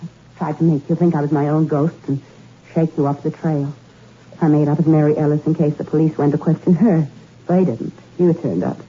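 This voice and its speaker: A bitter, self-loathing rich young woman who has killed , played by an actress, her voice slightly low. She confesses coolly in 1960s radio drama style. The old broadcast is muffled, with faint hiss. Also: steady tone, a little fast, lightly acted. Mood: cool confession. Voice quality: cool, confessional, then anguished.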